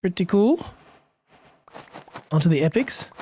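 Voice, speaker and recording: Hoarse man narrating his gameplay. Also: high-pitched, measured pace, no room sound. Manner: musing aloud, relaxed, chuckles often. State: hoarse, under the weather. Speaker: let's play host. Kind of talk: gameplay commentary.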